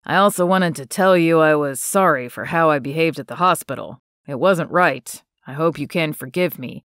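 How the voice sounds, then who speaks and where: A woman voicing an earnest, apologetic young man in an audiobook romance, lowering her voice and speaking quickly and sincerely. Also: fairly bright tone, dry recording, studio quality.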